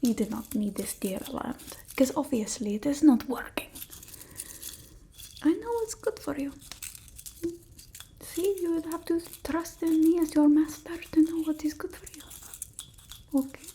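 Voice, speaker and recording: A woman playing a bossy, fussy old-world vampire mistress in an ASMR roleplay, whispering slowly and breathily. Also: sits high, slight background noise. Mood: haughty, then fussy, musing. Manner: slow, hushed, haughty, fussing over the listener.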